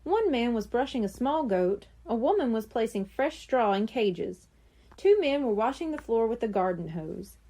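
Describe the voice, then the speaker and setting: A woman narrating a children's storybook in an animated storytelling manner. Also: fairly high pitch, normal speed. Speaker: audiobook narrator.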